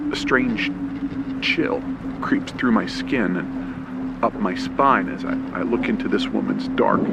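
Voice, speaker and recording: A man's voice: on the low side, gravelly, heavy background noise. A man narrating a scripted first-person horror story dramatically in old radio play style, acting out urgent, frightened moments. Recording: loud music behind the voice.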